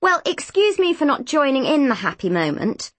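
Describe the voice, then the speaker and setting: A woman voicing a sharp, bossy young girl in a storybook, urgent and exasperated. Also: high voice, sing-song, moderate pace, no echo, pitched above the performer's own voice. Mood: sarcastic, exasperated.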